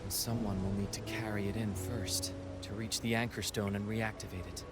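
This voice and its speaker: An actor playing a self-assured sea captain in a game cutscene, ready to volunteer for danger. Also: male voice, lowish voice, little pitch movement, moderate pace, lightly acted. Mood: confident. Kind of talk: voiced game cutscene dialogue. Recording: loud music behind voice.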